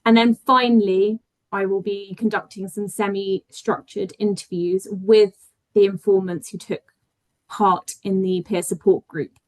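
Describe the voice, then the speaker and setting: A woman's voice: medium pitch, flat delivery, unhurried, poor audio. British-sounding woman presenting her research. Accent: British English. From Spain. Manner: thoughtful, with frequent fillers and self-corrections. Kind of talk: conference talk.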